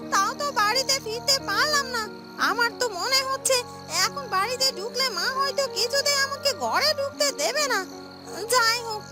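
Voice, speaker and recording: A woman voicing an indignant, sharp-tongued young village woman in a cartoon. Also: very high voice, pitched above the performer's own voice. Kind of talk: Bengali moral-story cartoon dubbing. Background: loud music.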